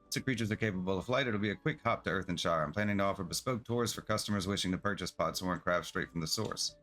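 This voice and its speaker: A man's voice: low-pitched, quick pace, a bit bright, faint music behind. A man reading the part of a busy, businesslike travel agency boss from a fantasy video game. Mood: brisk, upbeat.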